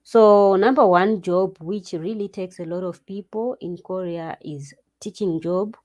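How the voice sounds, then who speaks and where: A woman's voice: fairly low pitch, steady tone, unhurried, darkish tone. A woman vlogger giving job-hunting advice to viewers in a loose, casual way, with restarts and asides. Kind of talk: casual advice talk to camera. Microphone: screen-share recording.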